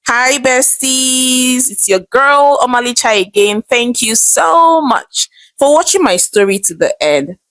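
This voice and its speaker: A Nigerian woman narrates a tale as its host. Her voice is fairly high and very animated, in a dramatic storytelling style, recorded dry and close. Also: unhurried, no room sound.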